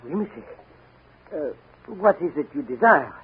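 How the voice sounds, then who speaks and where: A man's voice: fairly high pitch, animated, moderate pace, breathy edge. A man playing a nervous, evasive Frenchman in a 1940s radio drama, speaking with a French accent, hesitant, stammering and very polite. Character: nervous, evasive Frenchman, a novelist and gun collector. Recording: scratchy, noisy, narrow-band 1940 radio recording.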